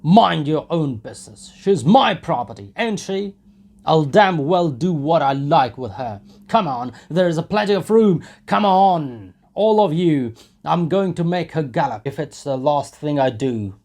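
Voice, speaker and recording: A man voicing a furious, brutal peasant who shouts defiantly and domineeringly in a dramatic audiobook reading. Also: on the high side, animated, a little slow, voice pushed up. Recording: noisy home recording.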